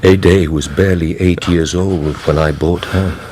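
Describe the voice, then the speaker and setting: A cold courteous count out for revenge, deepish voice, very formal, radio play, slight noise behind. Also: male voice, steady tone, darkish tone. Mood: cold, vengeful. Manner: formal, measured, icily polite. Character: cool, courteous, vengeful aristocratic count. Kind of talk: radio drama acting.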